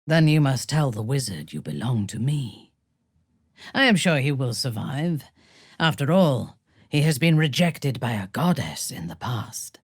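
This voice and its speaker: A woman playing a ruthless, imperious warrior commander of a dark cult in a fantasy video game, cold, commanding and disdainful. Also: very deep voice, big pitch swings, unhurried, breathy edge, no echo, studio quality, exaggerated voice. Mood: cold, hushed.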